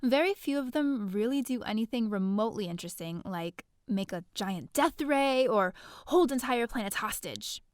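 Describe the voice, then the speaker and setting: A woman's voice: a little high, animated, normal speed, clean tone, no room sound, studio quality, lightly acted. A woman playing a chatty, bookish young heroine in a space-opera audio drama, theatrical and sardonic, full of casual asides. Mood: exasperated disdain. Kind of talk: first-person scripted audio drama narration.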